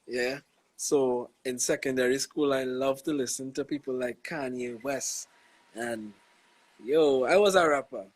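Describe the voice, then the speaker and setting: Husky young Trinidadian man, laid-back. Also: medium pitch, a little slow, no echo, low-quality recording. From Trinidad and Tobago. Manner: laid-back, reflective, slangy with many "you know"s. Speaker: gospel artist guest. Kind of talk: casual interview over a live stream.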